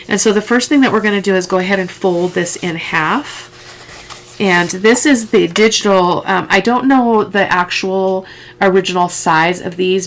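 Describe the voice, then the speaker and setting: Middle-aged woman, head cold, croaky and fry-heavy, chatty step-by-step crafter with faint background hiss. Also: fairly low pitch, fairly bright tone. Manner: chatty step-by-step instructions with asides. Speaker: craft vlogger. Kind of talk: casual crafting tutorial.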